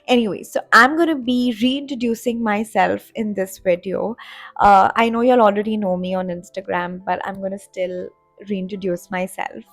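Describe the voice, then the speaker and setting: Chatty young woman with a gravelly edge. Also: mid-range voice, fairly even intonation, moderate pace, no room sound. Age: 20s. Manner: chatty, rambling with many fillers and restarts. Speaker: content creator. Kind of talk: casual vlog talk to camera. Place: home in Dubai.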